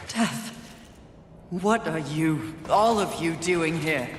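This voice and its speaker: A hot-tempered female rider of the apocalypse for a video game. She is imperious and scornful, slow and lively in pitch, in an echoing space. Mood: suspicious. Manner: imperious, scornful, clipped commands. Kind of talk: video game cutscene acting. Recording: echoey hall reverb, background noise.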